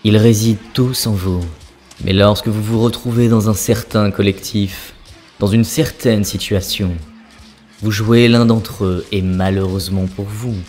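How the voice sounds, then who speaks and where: A man's voice: lowish voice, fairly even intonation, unhurried, darkish tone, natural voice. A man playing an ancient dwarf priest spirit in a cartoon, a solemn and instructive wise guide. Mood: grave, instructive. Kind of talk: cartoon voice acting, spiritual teaching. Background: background music.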